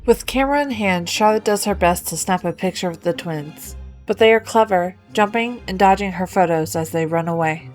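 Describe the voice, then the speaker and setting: A woman narrates a scripted game story in a mid-pitched voice. She works as a storyteller, and music plays behind her. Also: moderate pace, few pauses. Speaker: storyteller narrating a fan-written game quest.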